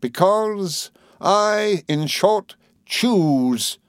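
A man's voice: high voice, animated, extremely slow, smooth, no echo, clean studio sound, pitched above the performer's own voice, heavily acted. A man voicing a pompous, indignant male clerk in an audiobook, declaiming with grand vehemence and defiance. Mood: defiant.

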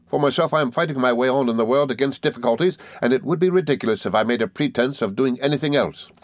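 A man voicing a cheerful, good-natured young gentleman law student in an audiobook, eager and flustered, with little stammering hesitations. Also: mid-range voice, fast talker, no room sound, clean studio sound, pitched above the performer's own voice, lightly acted. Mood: eager, friendly. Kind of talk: audiobook character dialogue.

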